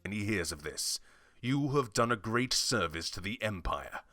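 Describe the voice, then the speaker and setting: A stern, loyal fantasy soldier acted by a man for an amateur game audition. His delivery is bright and forceful with swooping, animated pitch. The recording is dry and close, with plosive pops. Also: moderate pace, no echo, soft background music. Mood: solemn, grateful. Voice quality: breathy strain at phrase ends, popping P sounds.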